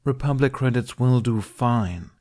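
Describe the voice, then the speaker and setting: A man voicing a calm, polite warrior-monk negotiator in an audiobook, with a measured, insistent manner. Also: mid-range voice, pitched above the performer's own voice, lightly acted. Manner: measured, formal, impassive.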